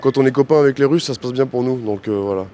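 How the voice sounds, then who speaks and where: A man's voice: mid-range voice, fast, mellow. A man answering a street interviewer in a wry, joking way.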